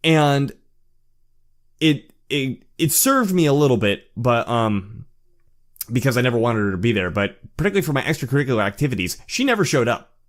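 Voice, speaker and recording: A man talks straight to the camera in a loose, unscripted monologue. His voice sits at an ordinary middle pitch, and he often stammers and circles back as he gathers his thoughts. He stays candid and reflective throughout. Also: sing-song. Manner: halting, with frequent stammered restarts. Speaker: vlogger sharing personal experience. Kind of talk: casual personal monologue.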